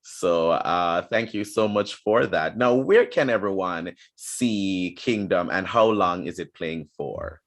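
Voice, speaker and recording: Low-voiced man hosting a casual interview. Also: slow pace, few pauses. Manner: affirming, repeats words for emphasis. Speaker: interviewer and host.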